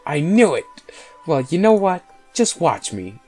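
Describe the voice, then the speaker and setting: A man's voice: fairly high pitch, big pitch swings, measured pace, darkish tone, voice pushed up. A man voicing a short, stubborn, hot-tempered schoolgirl in a video game, snappy and indignant. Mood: stubborn, defiant. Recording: voice over game music.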